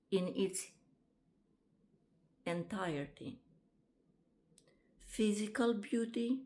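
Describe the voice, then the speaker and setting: Slow, halting woman in her fifties. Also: medium pitch, darkish tone. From Romania. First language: Romanian. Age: 50s. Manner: halting, with frequent ums and restarts. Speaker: vlogger and author. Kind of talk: casual self-introduction to camera.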